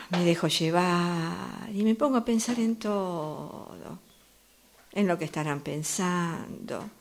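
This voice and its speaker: A woman's voice: on the low side, vocal fry, full character voice. A woman playing a reflective, daydreaming commuter in a radio drama monologue, musing theatrically with sighs. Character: reflective woman waiting for a train, daydreaming about strangers.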